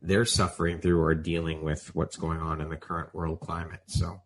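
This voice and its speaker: Very deep-voiced woman host, encouraging. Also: little pitch movement, moderate pace. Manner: encouraging, with short affirmations. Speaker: podcast host. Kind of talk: interview questions.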